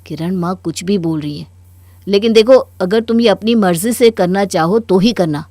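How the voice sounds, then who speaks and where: A woman's voice: medium pitch, normal speed, dark tone, dry recording, pitched below the performer's own voice, close to an everyday voice. A woman voicing a young married man in a cartoon story, firm and reasoning, mildly exasperated. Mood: calm, considerate. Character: calm, firm young married son reasoning with his mother. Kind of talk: Hindi cartoon story dialogue.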